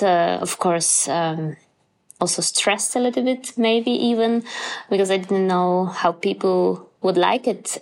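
Polish woman musician answering hesitantly. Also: mid-range voice. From Poland. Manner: hesitant, many fillers and restarts. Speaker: musician guest. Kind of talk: interview answers.

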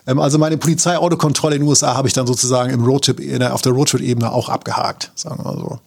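A man's voice: medium pitch, fairly even intonation, very fast, gravelly. A man from Germany co-hosting a casual travel chat, telling stories in a chatty, colloquial way. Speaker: podcast co-host.

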